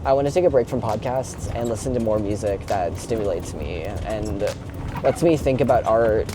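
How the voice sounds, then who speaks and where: A man's voice: on the low side, moderate pace. A young man chatting casually and confessionally while driving a truck, in an informal, sweary, rambling way peppered with "like". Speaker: vlogger. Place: inside a moving box truck. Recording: clear vehicle and road noise. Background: engine and road noise.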